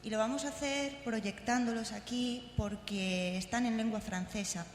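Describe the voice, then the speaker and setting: A woman's voice: a little high, fairly even intonation, moderate pace. A woman presenting live to a conference, speaking informally as she explains. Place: echoey congress venue. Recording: echoey hall, faint hum.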